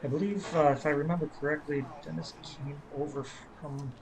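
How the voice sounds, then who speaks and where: A man who is a native English speaker, commentating an amateur baseball game in a relaxed, rambling way with many fillers, speaking as a coach. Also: fairly high pitch, moderate pace, creaky, noisy background. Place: ballpark. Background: crowd.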